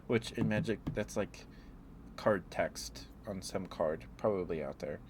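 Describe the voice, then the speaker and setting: A man with a very low voice rambles through an ASMR chat at an unhurried, slow pace. He is hesitant and meandering, speaking close to the mic. Clear background noise sits behind his voice. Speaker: ASMR streamer. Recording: clearly noisy room ambience.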